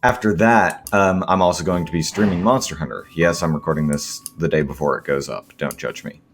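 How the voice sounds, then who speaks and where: A man with a hoarse, scratchy voice from a sore throat, rambling with many ums and self-corrections as he comments on his gameplay. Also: rumbling low, brisk, soft background music. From Seattle area, US. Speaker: gaming video host.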